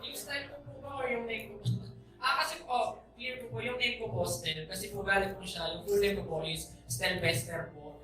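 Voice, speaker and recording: A man's voice: high-pitched, brisk, pushed and bright. A man answering questions at a press conference, politely and a little hesitantly. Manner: polite, hesitant, many respectful particles. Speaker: interviewee. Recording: echoey room, far from mic.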